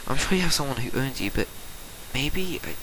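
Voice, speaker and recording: A man's voice: medium pitch, lively intonation, moderate pace, sharp tone. A lonely young pegasus filly from a story reading, voiced by a man. The delivery is plaintive and hesitant, with hurt under the sullenness. Some noise and clicking sit on the recording. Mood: lonely, confiding. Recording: noisy amateur recording with clicks.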